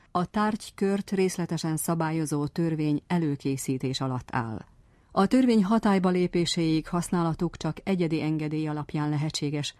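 A woman's voice: sits low, mellow, no echo, studio quality. A woman reading scripted narration for a news-style report.

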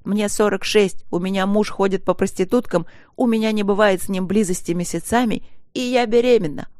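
A woman's voice: mid-range voice, normal speed, gravelly, no room sound, studio quality, pitched above the performer's own voice, natural voice. A woman voicing a furious, tearful middle-aged wife in an audiobook, wailing and exclaiming her accusations. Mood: cross, hurt.

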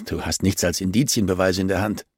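A man's voice: sits low, steady tone, fairly quick, a little mellow, breathy edge, dry recording, clean studio sound. A man voicing an arrogant, cornered male murder suspect in a crime audiobook, snapping with defiant indignation. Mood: indignant.

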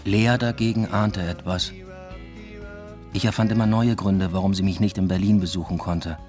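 A low-pitched actor as a male lecturer leading a double life tells his story in a literary radio play. He is dry, introspective and evasive, with clear music behind him. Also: fairly even intonation, normal speed, darkish tone, close to an everyday voice. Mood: wry, reflective. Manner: dry, matter-of-fact, introspective. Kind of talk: literary radio drama first-person narration.

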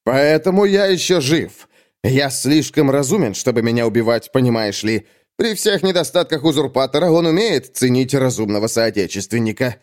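A sardonic, self-satisfied male critic, acted by a man narrating an audiobook. He delivers pompous, articulate taunts with a widely swinging pitch. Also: lively intonation, moderate pace, a little mellow, lightly acted. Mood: smug taunting. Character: sardonic, smug, venal male art critic. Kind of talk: audiobook character acting.

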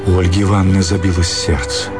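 A man's voice: low-pitched, level tone, fast, lightly acted. A man narrating a literary story dramatically as part of a radio play. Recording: music bed under the voice.

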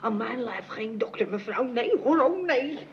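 A high-voiced household maid in a radio drama. She is deferential yet stubborn. The sound is dull and clearly noisy. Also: lively intonation, normal speed. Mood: protesting. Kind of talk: Dutch radio play acting. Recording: muffled, noisy old radio recording.

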